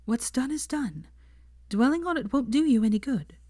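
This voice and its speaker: A woman voicing a caring young woman, speaking in a raised, earnest, gentle way. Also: high-pitched, lively intonation, normal speed, no room sound, clean studio sound, pitched above the performer's own voice. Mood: concerned.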